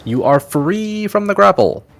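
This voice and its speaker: A man's voice: high voice, big pitch swings, measured pace, gravelly, faint music behind. A man narrating a tabletop roleplaying game as game master, in a casual, plain-spoken way.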